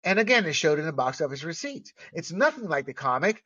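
A middle-aged American man giving opinionated solo commentary, emphatic and halting, stretching out key words. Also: fairly high pitch, sing-song, bright, forceful tone, husky. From United States. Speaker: movie commentator. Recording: dull, lo-fi sound.

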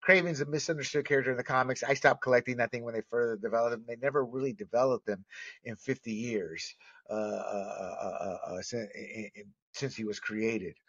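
A middle-aged American man giving opinionated solo commentary, emphatic and halting, stretching out key words. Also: medium pitch, fairly even intonation, fairly bright tone. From United States. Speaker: movie commentator. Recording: dull, lo-fi sound.

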